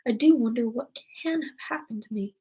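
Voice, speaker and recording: A woman's voice: on the high side, fairly quick, husky, lo-fi sound. A woman voicing a thoughtful little girl from a storybook, wondering and debating with herself.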